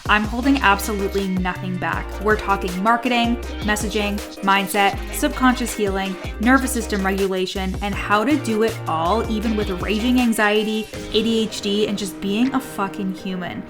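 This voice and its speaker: Woman host, confident scripted intro, profane, music loud underneath. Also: mid-range voice, steady tone, normal speed, hardly any pauses. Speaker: podcast host.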